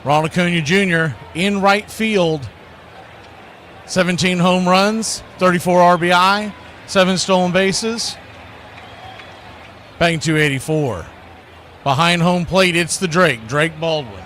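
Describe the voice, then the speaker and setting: A man's voice: high voice, measured pace, pauses often, a bit bright, a little hiss or hum. A man announcing sports and sponsor spots in a showy, folksy radio play-by-play style. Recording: music bed under the voice. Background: music.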